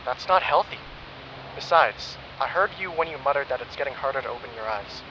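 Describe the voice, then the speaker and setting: An actor playing a calm, protective male ally who reasons patiently and worries about his friend. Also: medium pitch, brisk, lightly acted. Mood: concerned. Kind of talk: audio drama acting. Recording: dull, noisy, lo-fi recording.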